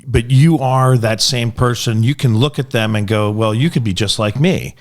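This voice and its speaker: A middle-aged man in casual podcast conversation, reflecting in a halting, folksy way. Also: mid-range voice. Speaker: podcast panelist. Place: small podcast room indoors at a table. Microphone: podcast microphone on a stand.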